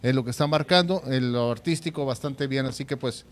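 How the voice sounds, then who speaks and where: A man's voice: mid-range voice, fairly quick. A man co-hosting a sports show, giving commentary and analysis into a handheld microphone. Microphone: handheld microphone, masked speaker. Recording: noticeable noise behind the voice.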